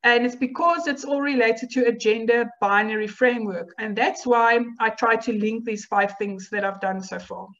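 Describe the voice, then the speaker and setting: A woman's voice: a little high, steady tone, fairly bright tone. Afrikaans-speaking woman lecturing, dull lo-fi audio. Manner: measured, explanatory, with filler ums and rhetorical questions. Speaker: university lecturer. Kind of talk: academic lecture over slides.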